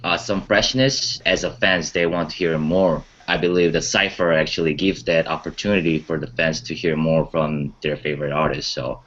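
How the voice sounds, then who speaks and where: A man's voice: rumbling low, fairly even intonation, moderate pace, few pauses, fairly bright tone, muffled recording. A man sharing his views in a casual discussion as a music show panelist.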